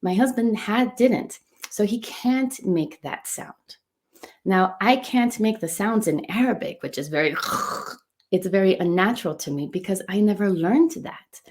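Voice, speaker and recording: A woman's voice: medium pitch, lively intonation, unhurried, darkish tone. A middle-aged woman whose first language is Portuguese, teaching an enthusiastic motivational lesson as a coach, stressing points by repeating words. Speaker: coach and run club business owner.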